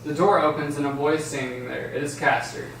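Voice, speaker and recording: A man's voice: fairly high pitch, steady tone, fairly quick, gravelly, fairly bright tone. A man narrating stage directions at a script table read, recorded in an echoey room from a distance. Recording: echoey room, distant mic, faint noise.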